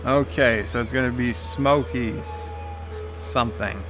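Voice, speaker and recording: Slow, halting man reading chat aloud. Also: medium pitch, over music. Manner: reads viewer comments aloud and replies, with frequent "uh" fillers. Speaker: game streamer reading live chat. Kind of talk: casual live-stream commentary.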